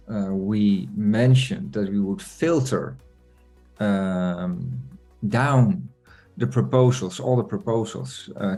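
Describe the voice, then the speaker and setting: A man hosting an online community meeting, talking in a relaxed, chatty way with many fillers. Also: fairly low pitch, gravelly, slightly rough. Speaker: meeting host.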